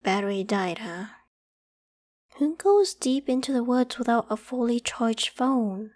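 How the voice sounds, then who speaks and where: A woman voicing a polite, protective young female werewolf with a secret, in a gentle, reassuring ASMR roleplay. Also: a little high, expressive, unhurried. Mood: caring, inquisitive. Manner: gentle, reassuring, hesitant when evasive. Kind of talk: ASMR roleplay, acted one-sided conversation.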